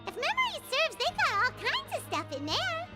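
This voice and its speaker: Loud game music sits behind a tiny fairy companion. The voice is childlike, squeaky and extremely high. It is chatty and excitable, leaping all over in pitch. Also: female voice, moderate pace, full character voice. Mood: bubbly, searching. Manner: talks about herself in third person, exclamatory. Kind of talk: video game voice acting.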